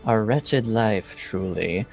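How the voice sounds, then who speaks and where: A cloaked woman informant, played by a man at the table, speaks in stiff, formal sentences with no contractions. The voice sits low and the speech comes slowly. Soft music plays faintly in the background. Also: gravelly, rough recording. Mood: measured. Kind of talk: in-character roleplay.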